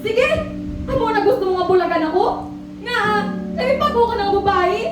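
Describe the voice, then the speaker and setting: A woman acts an emotional young girlfriend in an amateur radio play. Her voice is extremely high, echoing heavily across a room, with music clearly behind it. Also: normal speed. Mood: hurt, furious. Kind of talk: amateur school radio drama acting. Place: school audio-visual room. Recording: very echoey hall, distant mic, loud music behind.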